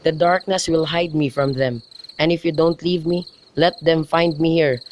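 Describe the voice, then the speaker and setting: A man's voice: on the high side, steady tone, normal speed, close to an everyday voice. A man playing an ardent, reckless young nobleman in love, in an amateur student radio drama of modernized Shakespeare. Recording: noisy home recording, crickets behind.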